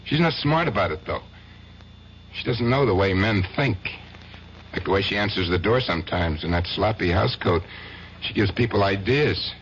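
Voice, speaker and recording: A brash, possessive husband needles in a fairly low voice. This is 1970s radio drama acting. The recording is muffled and hissy. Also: male voice, animated, moderate pace, a bit bright. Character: jealous, possessive husband just acquitted of murder.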